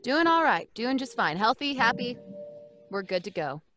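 A woman's voice: fairly high pitch, big pitch swings, moderate pace, a bit bright, soft background music. A woman chatting casually as a guest on a video call, speaking into a studio mic. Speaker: guest voice actor. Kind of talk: casual chat. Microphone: studio condenser mic on stand.

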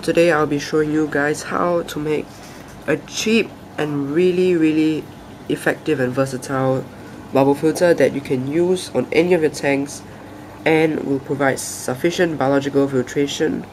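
A young man with a croaky, soft voice after a flu, hosting a casual hobby tutorial and explaining each step with small hesitations and restarts. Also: a little high, steady tone, unhurried, a little hiss or hum, low-quality recording. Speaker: aquarium hobby channel host. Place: indoors at a table.

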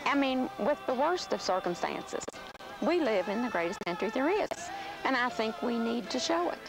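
A woman's voice: fairly high pitch, sing-song, moderate pace, music in the background, rough recording. A middle-aged woman telling a story in an outdoor interview, chatty and amused. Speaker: interviewee, homeowner. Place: outdoors in a yard.

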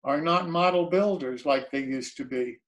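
A man's voice: on the high side, normal speed, a bit bright, dull audio. A man chatting casually as a participant in a group hobby call, putting questions and remarks to a guest. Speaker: meetup participant asking questions. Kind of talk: casual chat.

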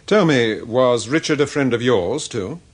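An actor playing a courteous, composed gentleman detective in a British radio drama. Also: mid-range voice, expressive, a little slow, slightly rough. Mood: calm, probing.

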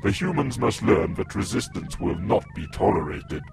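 A man voicing a cold, commanding male Cyberman leader with a robotic filter, speaking in flat, menacing orders. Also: lowish voice, moderate pace, music in the background. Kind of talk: fan audio drama.

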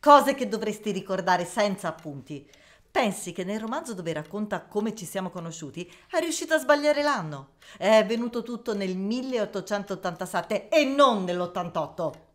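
A woman's voice: medium pitch, lively intonation, moderate pace, lightly acted. A woman voicing an elderly wife who speaks matter-of-factly and corrects her husband. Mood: wry. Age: older. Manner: gently correcting, matter-of-fact. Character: Mary Morstan, Watson's elderly wife, sharp and practical. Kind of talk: amateur audio drama.

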